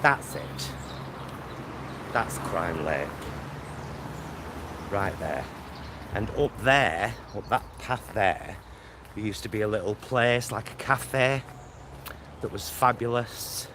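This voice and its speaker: A man's voice: on the low side, lively intonation, slow pace, pauses often. A man chatting casually as he walks, vlogging and thinking aloud in short, rambling phrases. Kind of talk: casual chat while walking outdoors. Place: outdoors on a walk near motorway and lake. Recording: outdoor noise, traffic and ambience.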